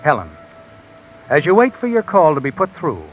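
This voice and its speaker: Creepy old-radio narrator, talks right at you, bit high voice, dull lo-fi sound. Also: male voice, wildly swooping pitch, moderate pace. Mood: insinuating. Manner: second-person, knowing, needling. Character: mysterious male narrator who taunts the listener. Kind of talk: 1950s radio drama narration. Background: dramatic music score.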